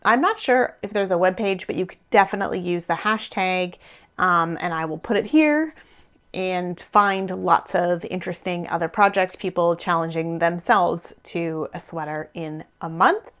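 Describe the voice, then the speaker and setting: A woman's voice: fairly low pitch, moderate pace, fairly bright tone. A woman in her thirties or forties hosting a knitting podcast, chatting casually and rambling with small laughs, her voice a little worn. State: losing her voice a bit. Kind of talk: casual chat to camera.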